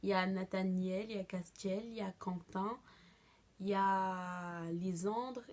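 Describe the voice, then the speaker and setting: A young woman vlogger giving a casual, expressive reaction commentary full of slang. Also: mid-range voice, unhurried, background noise.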